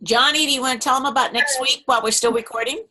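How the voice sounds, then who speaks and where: A woman's voice: high voice, steady tone, quick pace, pushed and bright. A woman hosting a group video call, organizing and thanking people in a friendly way as she wraps up. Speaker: host.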